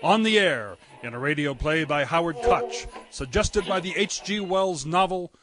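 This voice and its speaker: A man's voice: a little high, lively intonation, normal speed. A man announcing a 1930s radio program in a formal, declamatory style. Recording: vintage radio broadcast, music behind.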